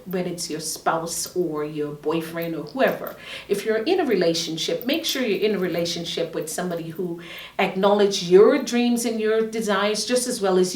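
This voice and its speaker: A middle-aged woman host with a fairly low voice talks earnestly about herself and urges listeners to follow their dreams. Her voice is heard from a distance in a roomy, echoing space. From Guyana. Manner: earnest, motivational advice-giving. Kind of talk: casual self-introduction. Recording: roomy sound from a distant mic.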